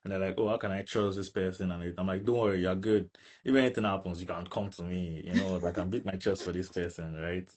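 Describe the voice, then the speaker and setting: A man's voice: low-pitched, fairly even intonation, fast talker, a little mellow. A young man with a Nigerian accent, chatting casually as an interview guest and repeating words for emphasis. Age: young adult. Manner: emphatic repetition, frequent tags like "right" and "you know". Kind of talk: casual chat.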